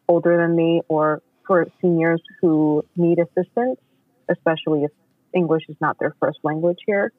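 Podcast guest: American male, higher-pitched voice, agreeable and explanatory, dry phone-quality audio. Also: dry recording. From New York City, United States. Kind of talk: casual interview conversation.

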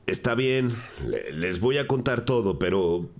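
An actor playing a nervous, evasive school bus driver and drug dealer, pleading and defensive, in a crime audio drama. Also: male voice, lowish voice, very animated, measured pace. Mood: panicked pleading.

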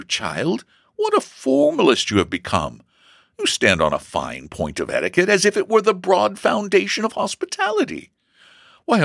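A man voicing a kindly middle-aged mother who reasons and gently chides, in a storybook reading. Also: fairly high pitch, vocal fry, no room sound, studio quality, pitched above the performer's own voice, heavily acted.

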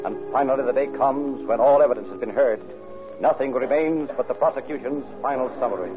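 A man's voice: mid-range voice, a little fast. A man narrating an old-time radio drama from a script. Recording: tinny old radio recording with music.

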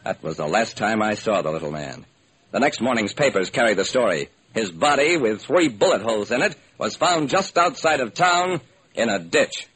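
A man's voice: lowish voice, lively intonation, normal speed, fairly bright tone, breathy edge. An American man delivering theatrical, hard-boiled first-person detective narration in the style of old-time radio. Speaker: narrator and solo performer of a detective radio series. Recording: noisy old radio recording.

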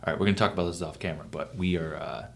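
A man's voice: low voice, steady tone, rapid-fire. A man co-hosting a podcast in casual chat, upbeat and joking, hyping up his co-host.

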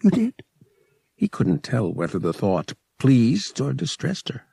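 A man's voice: mid-range voice, sing-song, measured pace, gravelly, dark tone, husky, no room sound, studio quality. A man narrating fiction as a scripted, dramatic audiobook reading.

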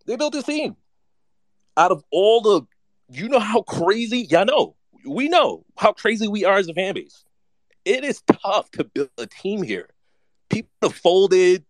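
A man chatting excitedly about his team in a slangy, repetitive way. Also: high-pitched, unhurried, no echo. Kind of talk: casual fan chat.

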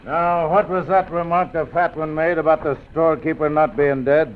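A man's voice: a little high, fairly even intonation, normal speed, slight background noise, natural voice. A man playing a ruthless bandit chief. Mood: menacing. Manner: gruff, menacing drawl. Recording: thin, phone-like old radio.

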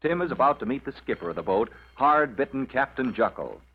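A man's voice: medium pitch, expressive, fairly quick, slightly rough. A man narrating an old-time radio serial as its announcer, in a dramatic, formal scripted style. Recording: dull, noisy old radio recording.

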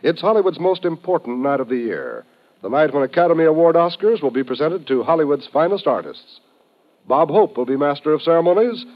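An American man announcing in a polished, formal, emphatic 1950s network radio style from a script. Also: on the high side. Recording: dull old radio recording.

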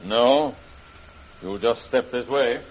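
An actor playing a gloating, swaggering warlord villain in 1930s radio style, with a husky voice and mocking manner. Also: male voice, mid-range voice, slow pace, slight background noise, natural voice. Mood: smug, mocking. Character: gloating male warlord villain plotting to rule China. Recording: muffled, dull old radio recording.

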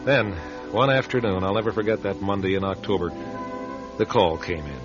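An actor playing a plain-spoken, put-upon radio station manager in a radio drama, conversational, hesitant and confiding. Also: male voice, on the low side, brisk, dull audio, music in the background, natural voice. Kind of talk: radio drama acting and first-person narration.

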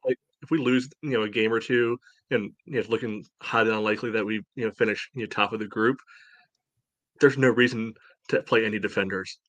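A man's voice: fairly low pitch, fairly even intonation, fairly quick, a bit bright, dry recording, low-quality recording. A man co-hosting a casual sports discussion, often padding his points with "you know". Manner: peppers speech with "you know". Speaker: podcast co-host.

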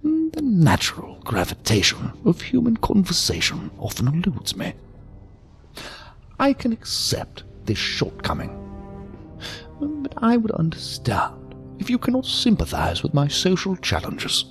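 A mysterious old gentleman for an audio drama. The voice is gravelly, sometimes breathy, and swoops wildly up and down. He speaks with ornate, deferential courtesy and a philosophical bent, with music underneath. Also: sits high, huge pitch swings, a little slow, exaggerated voice. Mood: politely apologetic. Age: older. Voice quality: creaky, gravelly, breathy at times. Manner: formal, ornate, deferential, theatrically sing-song. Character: odd, courteous, philosophical old man lurking in an alley.

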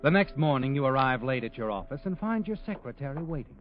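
A man's voice: mid-range voice, big pitch swings, fast, close to an everyday voice. An actor playing an all-knowing narrator of crime tales on 1940s radio, taunting and ominous in his dramatic delivery. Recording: muffled old radio recording. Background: dramatic music.

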